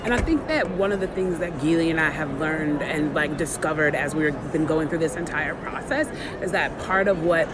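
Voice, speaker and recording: A woman speaks as an interview guest in a conversational register. She builds her points in measured steps. Clear background noise from the location runs under her voice. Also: low voice. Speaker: author being interviewed. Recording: noisy on-location recording, ambient background sound.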